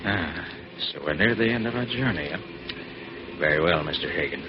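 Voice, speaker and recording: Casting: assured male private detective, lowish authoritative voice, noisy vintage radio sound. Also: expressive, moderate pace. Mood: musing, watchful. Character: confident, commanding male master detective. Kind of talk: 1940s radio acting. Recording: dull, noisy 1940s radio recording.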